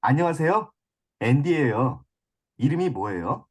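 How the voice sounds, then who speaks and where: A woman with a low, husky voice reads her lines of a scripted practice dialogue. She speaks in a deliberate textbook manner, with lively rises and falls in pitch. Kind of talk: scripted dialogue for a listening exercise.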